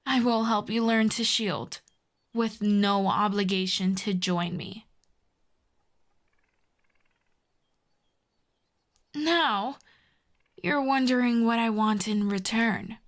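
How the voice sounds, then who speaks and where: A woman playing a proud telepathic villainess with a soft spot, in an intimate ASMR roleplay, haughty and teasing. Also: mid-range voice, close to an everyday voice. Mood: grudging. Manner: haughty, teasing, slow deliberate delivery with soft whispers. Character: proud telepathic villainess, secretly fond of a hero.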